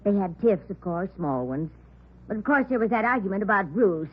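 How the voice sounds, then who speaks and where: Chatty, anxious maiden lady in her sixties; very animated delivery, muffled old-radio sound. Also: mid-range voice, a little fast, husky. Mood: surprised, flustered. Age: older. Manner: gushing, chatty, outspoken. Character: talkative, fretful maiden aunt in her early sixties. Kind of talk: 1950s radio crime drama acting. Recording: muffled vintage radio drama recording.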